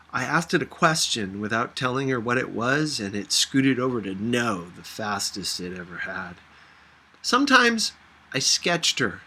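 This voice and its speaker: A man's voice: mid-range voice, pushed and bright. A man reading a first-person story aloud in a conversational, reflective manner. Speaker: audiobook reader.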